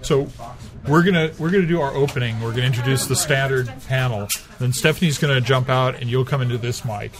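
Male podcast host, mid-pitch, joking and bossy in a friendly way; other voices chatter around. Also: fast. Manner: playful, joking, directs the others. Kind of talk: casual pre-show chat.

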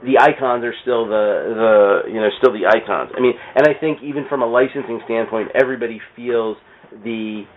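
A man chatting casually about comics in a halting way, repeating words and restarting his sentences. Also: medium pitch, slight background noise. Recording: thin, band-limited phone-like radio sound.

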